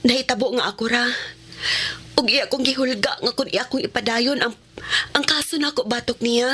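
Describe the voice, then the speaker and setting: A woman's voice: on the high side, normal speed, pushed and bright, slightly rough. A woman acting a sincere widowed mother in a radio drama, carrying grief and recovering from a breakdown. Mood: sorrowful, confiding.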